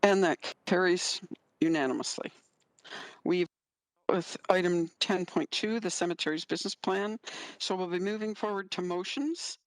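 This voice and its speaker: A woman chairing a council committee, running through votes and motions in a brisk, procedural way. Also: fairly low pitch, no echo, poor audio.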